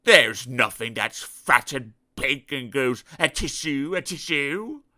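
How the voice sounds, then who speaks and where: A man voicing a rude, sarcastic male pig who talks slowly and loudly in a drawn-out, taunting way. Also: mid-range voice, animated, full character voice. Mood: worried. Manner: slow, drawn-out, loud, taunting.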